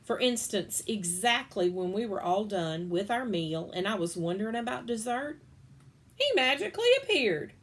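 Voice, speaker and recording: A woman reads a children's picture book aloud in an animated, playful way. Her voice sits mid-range and jumps up high for funny moments. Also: moderate pace. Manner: expressive, playful storytelling with big pitch swings. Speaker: reader.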